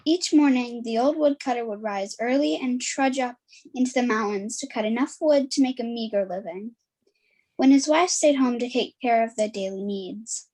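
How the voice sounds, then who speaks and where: Lady with a high voice reading out the narrator part of a folktale play. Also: moderate pace, a little mellow. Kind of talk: scripted storytelling narration.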